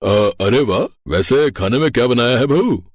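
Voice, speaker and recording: A man voicing a genial, puzzled older father-in-law in a cartoon story, husky and drawling with a hesitant, jovial manner. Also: medium pitch, lively intonation, a little slow, a little hiss or hum, natural voice.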